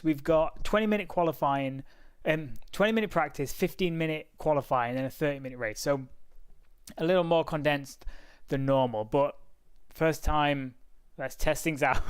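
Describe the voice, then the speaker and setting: A man's voice: medium pitch, smooth. A man live-streaming a racing sim, chatting casually and talking to himself as he clicks through menus, with frequent laughs.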